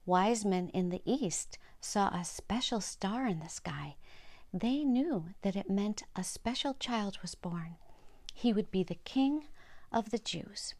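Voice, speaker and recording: An older woman telling Bible stories for children in short, simple sentences, pausing to explain. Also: mid-range voice, lively intonation, a little mellow. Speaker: storyteller and host of a children's story program.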